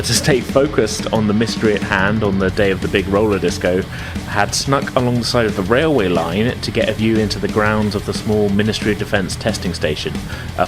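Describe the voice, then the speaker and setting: A low-voiced British man acts as game master and podcast host. He speaks casually, narrating and recapping in a hedging, informal way.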